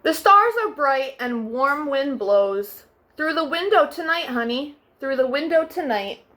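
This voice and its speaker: High bright-voiced woman reading picture books. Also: lively intonation. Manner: gentle sing-song read-aloud, soft drawn-out refrains. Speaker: children's storytime host.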